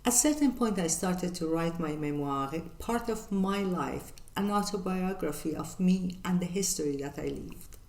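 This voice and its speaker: An older woman from northern Iran with a Persian accent, hosting her channel and answering viewer questions in an earnest, measured way with many pauses. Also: lowish voice, creaky, darkish tone, echoey room. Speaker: channel host. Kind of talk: reflective Q&A monologue.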